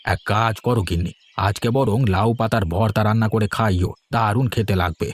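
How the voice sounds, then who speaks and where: A man as a low-voiced, fond old villager. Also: steady tone, brisk, lightly acted. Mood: fond, contented. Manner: affectionate, coaxing. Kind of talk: animated cartoon dialogue. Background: music.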